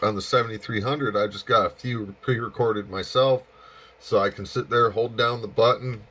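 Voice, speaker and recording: A man's voice: fairly low pitch, steady tone, normal speed, bright, forceful tone, dull audio, a little hiss or hum. A man chatting casually as an amateur radio operator, with an easygoing, folksy manner. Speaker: amateur radio operator and hobby video maker. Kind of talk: casual chat over ham radio.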